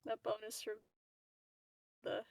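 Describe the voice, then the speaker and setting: A woman playing a tabletop game, playful, with quick, short replies. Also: mid-range voice, monotone, vocal fry, a little mellow, no room sound, recorded from a distance. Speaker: player. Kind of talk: casual tabletop chat.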